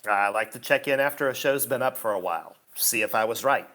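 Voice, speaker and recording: A man's voice: mid-range voice, sharp tone, close to an everyday voice. An actor playing a pompous, condescending male theatre critic in a radio play, haughty and sneering. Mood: smug. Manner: haughty, condescending, slow and deliberate insults.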